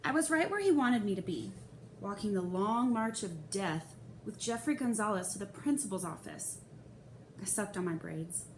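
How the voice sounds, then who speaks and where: A woman's voice: mid-range voice, lively intonation, normal speed, a little mellow. A woman reading a children's novel aloud as a first-person storyteller, dramatizing the young narrator's feelings expressively. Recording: echoey room with faint hiss.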